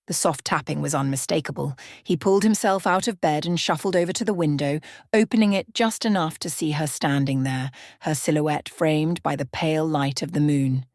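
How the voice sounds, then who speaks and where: Female ASMR narrator: slightly low register, measured dramatic storytelling, hushed, very dry close-mic sound. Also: no room sound. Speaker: storyteller, channel host.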